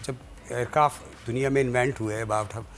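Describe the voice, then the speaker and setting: A man with a Pakistani accent answering as an interview guest, explaining in a halting, deliberate way and switching between Urdu and English. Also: mid-range voice, fairly even intonation, fairly bright tone, faint music behind. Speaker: guest, president of an air traffic controllers' guild.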